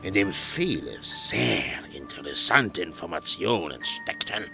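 An actor playing a hot-tempered, greedy and scheming gang leader in an audio drama, theatrical and drawn-out. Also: male voice, deep voice, lively intonation, vocal fry, over music, full character voice. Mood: sly, hushed.

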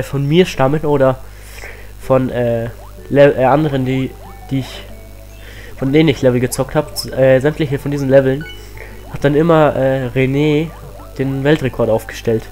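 A teenage boy with a cold, chatting excitedly as he plays a platform game on his channel, full of rambling asides. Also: medium pitch, normal speed, soft background music. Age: teens. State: has a cold. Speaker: let's play host. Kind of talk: casual game commentary.

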